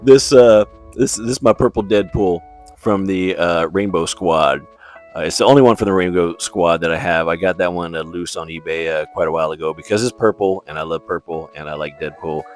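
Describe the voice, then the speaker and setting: A man's voice: low voice, a little mellow. A man hosting a vlog, talking in a casual, chatty way with slangy, laid-back fan enthusiasm. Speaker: YouTube host reviewing movies and toys.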